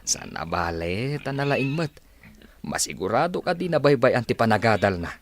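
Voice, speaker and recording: A man's voice: mid-range voice, very animated, normal speed. A man with an Ilocano accent playing an affectionate, hardworking village pig farmer and father in a radio drama, on a noisy recording. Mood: hopeful.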